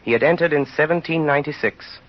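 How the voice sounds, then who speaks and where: An actor as the grave narrator of a tragic tale, solemn and measured in a dramatic, rhetorical radio style. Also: male voice, on the high side, lightly acted. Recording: noisy, dull old radio recording.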